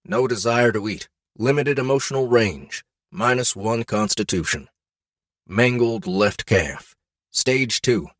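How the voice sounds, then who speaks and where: A man narrating a scripted fantasy audiobook in an expressive, deliberate storytelling manner. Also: medium pitch, no echo, studio quality. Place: dry studio.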